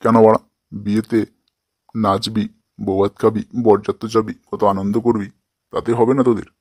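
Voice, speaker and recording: Cartoon grandpa, played by a man: lonely, grumbling, foul-mouthed, lower register. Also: little pitch movement, moderate pace, a little mellow, breathy edge, no room sound. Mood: hopeful, bargaining. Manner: complaining, coarse, swearing. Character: grumpy, lonely old grandpa wanting a bride. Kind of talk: comic cartoon dialogue.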